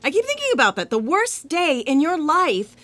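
Animated, high-voiced young woman. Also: moderate pace, heavily acted. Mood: hopeful. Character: excitable young woman, hopeful, teasing, newly dating. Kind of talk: comedic audio drama acting.

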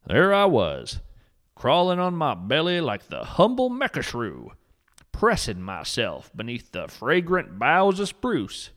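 Guy narrating a quirky nature podcast, slow and dreamy, super sing-songy, earnest and poetic. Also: a little high, huge pitch swings, a bit bright. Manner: earnest, poetic, mock-solemn delivery. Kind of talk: whimsical scripted narration.

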